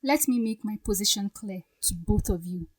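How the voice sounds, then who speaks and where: A woman's voice: mid-range voice, moderate pace, natural voice. A woman playing a defiant, wounded young bride-to-be, speaking firmly and pointedly in a radio drama. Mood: resolute. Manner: firm, pointed.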